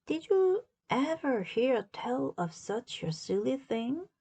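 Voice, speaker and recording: Woman putting on a cheerful housemaid, literal-minded, folksy exclamations, children's storybook, unhurried delivery. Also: high voice, sing-song, dark tone, breathy edge, pitched above the performer's own voice, full character voice. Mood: puzzled, amused.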